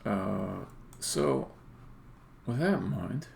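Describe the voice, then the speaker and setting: Middle-aged male developer-streamer, heavy vocal fry, unhurried pace, casual but opinionated delivery with emphatic stresses. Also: on the low side, sing-song, darkish tone, slight background noise. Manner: rambling, opinionated, halting then emphatic. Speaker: indie game developer, streamer. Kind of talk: casual live-coding commentary.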